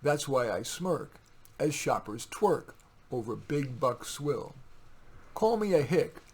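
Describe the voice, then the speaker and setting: A man with a husky voice reading his own poems in a deliberate, wry way, with informal asides. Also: mid-range voice, big pitch swings.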